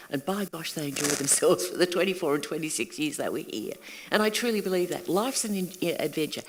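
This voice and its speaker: Older Australian woman, earnest and hushed. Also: fairly low pitch, long reverb tail, slight background noise, poor audio. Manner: earnest, reflective, at times hushed. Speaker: panel guest, workshop leader. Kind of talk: candid panel talk. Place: event hall.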